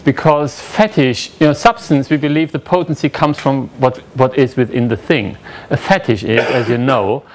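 A man's voice: medium pitch, moderate pace, hardly any pauses. A man with a German accent giving an academic lecture at a lectern in a lecture room, talking conversationally and questioning the audience. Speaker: conference speaker.

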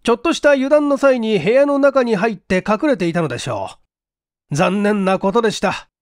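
A woman voicing a composed male police investigation chief, sober and deliberate, in a dramatic audiobook reading. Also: fairly high pitch, animated, darkish tone, no echo, studio quality, natural voice. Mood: sober, regretful.

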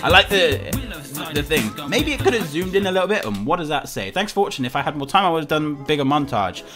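A man in his thirties giving excited gaming reaction commentary and reading captions aloud at his desk. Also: a little high, fast. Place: small indoor room with streaming setup. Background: music.

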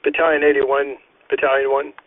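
A man working as a fire incident commander, giving procedural orders over a two-way radio with deliberate pauses. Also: medium pitch, completely flat delivery, slight background noise. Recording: tinny narrowband fire radio scanner audio.

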